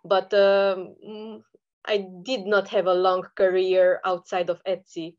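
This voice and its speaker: A woman's voice: mid-range voice, little pitch movement, takes it slowly, a little mellow, dull audio. A woman from Romania with a Romanian accent, answering conversationally as an interview guest. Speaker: podcast guest, online seller.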